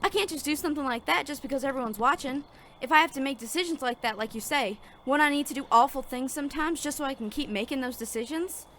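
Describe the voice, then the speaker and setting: A woman's voice: sits high, quick pace, a bit bright, slight background noise. A woman playing an earnest, naive young sheriff's deputy, hesitant and dutiful, in a Western audio drama. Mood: flustered, earnest.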